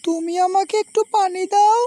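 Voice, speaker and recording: A woman's voice: very high-pitched, fairly even intonation, moderate pace, dark tone, pitched above the performer's own voice. A woman voicing a thirsty, plaintive talking melon plant in a children's cartoon, pleading and reproachful.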